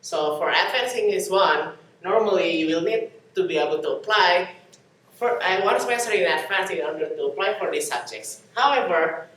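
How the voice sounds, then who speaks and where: A man's voice: high-pitched, moderate pace. Young man presenting in an echoey room. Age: young adult. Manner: steady, addresses audience as "you guys". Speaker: student guide. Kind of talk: presentation explaining course registration. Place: classroom in front of a screen. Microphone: far camera. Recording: echoey classroom from far off.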